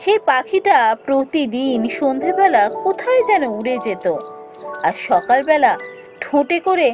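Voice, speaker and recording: A woman's voice: sits high, sing-song, a little slow. Woman as storybook narrator for a cartoon fairy tale, scripted read, music behind. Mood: narrating.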